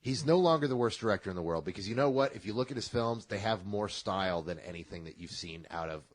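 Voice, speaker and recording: A man with a husky, slightly rough voice and a lively, swinging delivery. He is co-hosting a casual podcast and goes off on long, profane, opinionated rants. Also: on the low side, fast. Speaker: podcast co-host. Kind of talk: casual chat.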